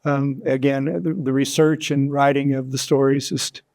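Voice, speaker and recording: An American man in his sixties speaking as an interview guest, reflecting thoughtfully in halting, considered phrases. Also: mid-range voice, fairly even intonation, darkish tone. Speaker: interview guest, author and storyteller.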